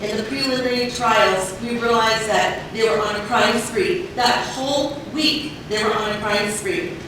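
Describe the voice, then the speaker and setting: A woman giving steady, earnest testimony as a public commenter at a board meeting. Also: on the high side, normal speed. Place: large public meeting chamber. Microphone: body camera across the room. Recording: echoey hall heard from far off, noisy.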